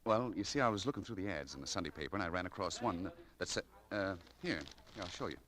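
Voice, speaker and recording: A modest young shop clerk in an old radio play. His voice is deep, and he speaks in an earnest, slightly halting way, like someone smitten. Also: lively intonation, a bit bright. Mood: eager, smitten. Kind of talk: 1940s radio acting.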